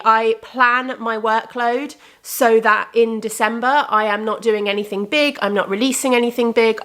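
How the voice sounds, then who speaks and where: A woman's voice: fairly high pitch, a bit bright. A young adult woman hosting her crafting podcast in casual chat, rambling and correcting herself, and slightly congested from a cold. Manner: chatty and rambling, with frequent self-corrections. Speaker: podcast host and indie yarn dyer. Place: room with bookcases of yarn behind her.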